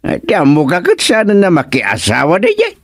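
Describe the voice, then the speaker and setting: A man with an Ilocano accent playing a comical, excitable older village husband in a radio comedy-drama, full of exclamations and chuckles. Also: high-pitched, no room sound, studio quality, full character voice. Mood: chatty. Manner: exclamatory, chuckling, drawn-out reactions.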